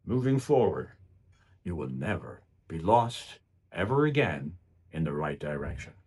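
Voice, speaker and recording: A man giving a rehearsed motivational speech in an emphatic, deliberate manner with dramatic pauses and repetition. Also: rumbling low, very animated, darkish tone, husky. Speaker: motivational public speaker.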